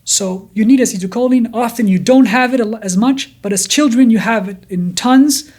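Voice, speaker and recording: A neuroscientist guest from Denmark, of Kurdish origin, with a blended accent in English, explaining at length and often repeating words and restarting. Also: male voice, very high-pitched, normal speed, a little mellow. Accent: blended Danish and Kurdish. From Denmark, Kurdish from Iraq. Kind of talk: explanatory interview talk.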